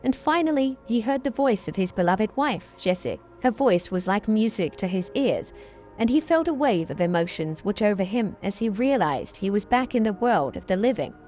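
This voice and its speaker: A woman reading a dramatic story in an even, unhurried scripted narration. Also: medium pitch, soft background music.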